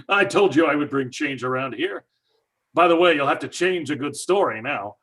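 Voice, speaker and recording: A man's voice: fairly high pitch, lively intonation, moderate pace, fairly bright tone. An actor playing a stubborn, skeptical father, blustering and scornful. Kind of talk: old time radio drama acting.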